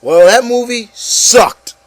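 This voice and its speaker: A man's voice: very high voice, slow, no echo. A man hosting an opinion show in a casual, incredulous rant, repeating words for emphasis and swearing. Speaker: host of an opinion show on video games.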